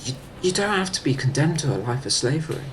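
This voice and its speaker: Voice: mid-range voice, big pitch swings, fast, slight background noise, lightly acted, low-quality recording. An actor playing a tactful, sympathetic activist in a sci-fi audio drama, speaking hesitantly and repeating words.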